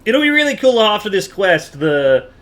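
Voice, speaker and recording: A man's voice: sits high, big pitch swings, moderate pace, fairly bright tone. Guy streaming a game, casual joking asides, flippant, voice jumps around a lot. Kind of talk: casual live gameplay commentary.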